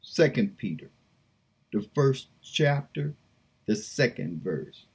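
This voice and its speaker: A woman prays aloud and reads scripture with fervent, pleading feeling. Her voice is husky and breathy, and she drags out her words very slowly. Her pitch swoops between low murmurs and high cries. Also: sing-song, a little mellow. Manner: fervent, pleading, slow and drawn out. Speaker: preacher. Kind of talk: impassioned prayer and scripture reading.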